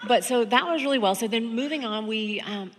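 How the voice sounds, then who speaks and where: A woman's voice: a little high, brisk, background noise. A woman in her thirties from Georgia with an American accent, sharing personal stories candidly and conversationally as a seminar speaker. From Georgia, United States. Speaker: seminar speaker and sex therapist. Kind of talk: informal seminar talk, personal storytelling. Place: on stage in an auditorium, seated at a high table. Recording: echoey hall, stage sound.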